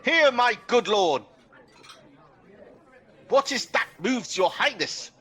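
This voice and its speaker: Man as husky, slow-spoken courtly lord. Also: extremely high pitch, big pitch swings, fairly bright tone, full character voice. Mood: puzzled, alarmed. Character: courteous, puzzled lord attending his king. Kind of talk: amateur Shakespearean radio play acting.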